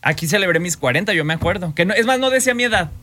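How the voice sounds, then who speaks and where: An upbeat Mexican man in his forties hosts a radio gossip show, talking in a chatty way with quick asides. His voice sits high and sounds bright and forceful. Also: expressive. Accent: Mexican Spanish. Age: 40s. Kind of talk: casual gossip radio chat.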